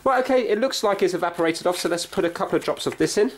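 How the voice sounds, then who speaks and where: A man's voice: sits high, animated, rapid-fire. A middle-aged British man giving casual, explanatory commentary as he thinks aloud through a hands-on repair. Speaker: repair vlog presenter. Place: indoor room with wooden floor, working at floor level. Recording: clear background noise with clicks.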